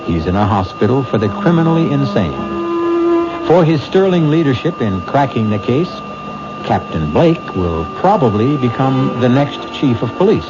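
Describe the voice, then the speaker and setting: A man's voice: medium pitch, very animated, moderate pace. A man hosting a radio mystery show, wrapping up the story in a theatrical, lingering way. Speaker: host and narrator. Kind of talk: radio mystery host outro. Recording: dull old radio broadcast with loud hiss.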